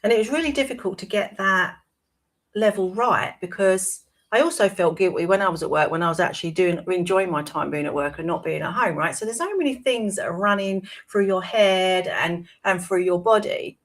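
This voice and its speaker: A woman, hosting a live-streamed talk show. Her voice is hoarse and croaky, and she talks in a chatty way, often checking in with "right?". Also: mid-range voice, brisk. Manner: chatty, tags points with "right?". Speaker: wellbeing coach and show host.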